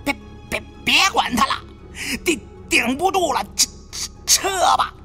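A man's voice: very high voice, expressive, measured pace, pushed and bright, faint music behind, pitched above the performer's own voice, full character voice. A man voicing an agitated tomb robber with a heavy stutter, in an audiobook. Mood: panicked.

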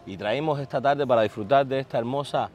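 A man's voice: mid-range voice, a little fast, darkish tone, lo-fi sound. A middle-aged man with a Cuban Spanish accent, hosting a casual interview outdoors on a boat and dropping English phrases into his Spanish. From Cuba. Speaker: interview host.

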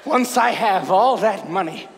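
A greedy, theatrical conman wizard villain in a stage comedy. The voice sits high and leaps around with gleeful boastfulness and a sharp, forceful edge. It rings in a hall. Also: animated, a little slow, creaky, full character voice. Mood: manic glee. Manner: theatrical, boastful, swinging into shouts. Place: live staged reading on a stage. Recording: reverberant hall, faint noise.

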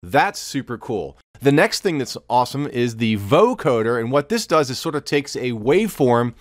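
A man in his fifties hosts a software tutorial in an informal, step-by-step way. His voice sits at a middle pitch. He is recorded close and dry in a small room. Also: sing-song, moderate pace, no room sound. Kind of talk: instructional screen tutorial. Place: small home studio room, indoors.

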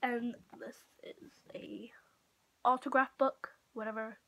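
A teenage girl from Portugal vlogging casually to camera in a room at home, talking in a halting way full of "like". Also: fairly high pitch, steady tone, creaky, a bit bright, rough recording. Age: teenager, about 15. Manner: halting, frequent "like" and trailing off. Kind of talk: casual chatty show-and-tell to camera. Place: small room at home, indoors. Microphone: built-in device mic at arm's length or a bit further.

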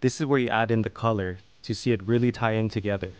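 A man narrating a fish-cutting and plating tutorial as host, explaining each step calmly. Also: on the low side, fast, clear, resonant tone. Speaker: fishing and cooking channel host. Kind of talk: calm instructional voice-over.

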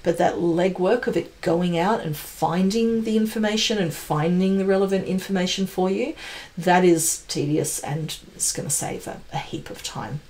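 A woman's voice: lowish voice, normal speed, darkish tone. A woman in her forties giving an enthusiastic, conversational and emphatic explainer on product announcements, as a tech presenter. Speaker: tech channel presenter, pre-sales professional.

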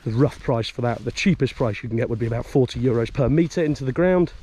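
A man giving a casual walking tour outdoors as a vlogger, rambling and chatty, with restarts and soft chuckles. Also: mid-range voice, fast, slightly rough. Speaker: vlogger showing a property for sale. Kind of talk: casual walk-and-talk tour. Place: outdoors, walking a farm and village streets. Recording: outdoor noise behind the voice.

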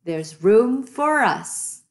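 A woman's voice: on the high side, very animated, extremely slow, exaggerated voice. A woman voicing an eager, kind, plucky little boy truck in a children's storybook, with encouraging exclamations.

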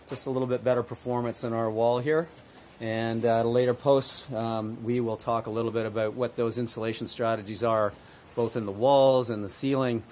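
A man in his fifties giving a casual walkthrough of a construction site to a handheld camera, relaxed and upbeat with frequent filler words. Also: mid-range voice, slight background noise. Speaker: builder giving a site tour. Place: outdoor construction site, then inside a framed house. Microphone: phone or camera held at arm's length.

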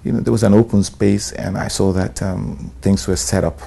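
A middle-aged Nigerian man, an actor, talking in a sit-down interview, relaxed and hesitant, with many "um"s and "you know"s. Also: lowish voice, normal speed, gravelly, breathy edge. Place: bright studio room, indoors. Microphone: lapel.

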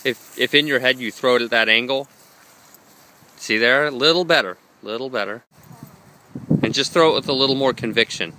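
Bright-voiced young man vlogging with dogs. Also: medium pitch, moderate pace, pauses often, slight background noise, low-quality recording. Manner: upbeat, coaching, talks to his dogs. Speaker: dog trainer vlogger. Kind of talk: casual vlog narration. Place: gym, park and home, handheld camera. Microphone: handheld camera mic.

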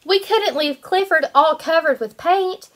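A woman in her twenties, a kindergarten teacher reading a picture book aloud to young children in an animated, sing-song way. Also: extremely high pitch, measured pace. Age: 20s.

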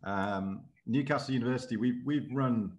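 A man's voice: medium pitch, normal speed, highs cut off. A man in his forties, a university lecturer in naval architecture, talking casually and self-deprecatingly on a video call from his office. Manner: self-deprecating, rambling, animated with hand gestures. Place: office with bookshelves, indoors. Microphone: laptop webcam video call.

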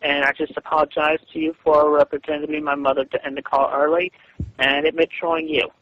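A man with a fairly high voice speaks over a muffled phone line with faint noise. He sounds stilted and deliberate, halting and doubling back on his phrases. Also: flat delivery. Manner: stilted, deliberate, repeats himself. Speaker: person on a phone call.

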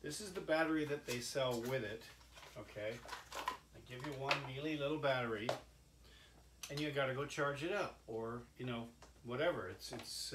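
An older man giving a halting, folksy, informal product demonstration, like an inventor showing off his own gadget. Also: medium pitch. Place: indoor cluttered home workshop. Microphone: camera mic a few steps away. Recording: echoey workshop with background noise.

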